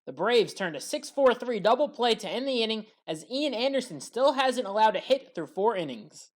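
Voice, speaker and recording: High, lively woman recapping sports. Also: moderate pace. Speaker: sports highlights commentator. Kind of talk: scripted play-by-play recap voice-over.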